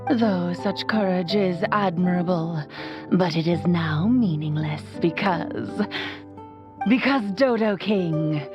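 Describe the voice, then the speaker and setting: A woman's voice: fairly low pitch, slow, voice pushed down. A woman voicing a grandiose, mock-ominous overlord villain who taunts a challenger in a video game. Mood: ominous, taunting. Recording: music behind the voice.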